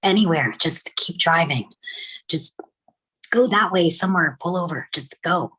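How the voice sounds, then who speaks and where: Woman acting low, husky, anxious middle-aged wife. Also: lively intonation, unhurried, fairly bright tone, full character voice, low-quality recording. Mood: tense, curt. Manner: terse, hesitant, subdued. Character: anxious, reluctant married woman in her fifties. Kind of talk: table read acting.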